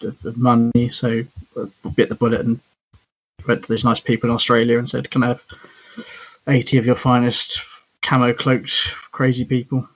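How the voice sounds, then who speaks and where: A man co-hosting a podcast, chatting casually and a bit rambly with a husky voice. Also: mid-range voice, completely flat delivery, normal speed. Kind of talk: casual hobby chat. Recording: dull lo-fi audio.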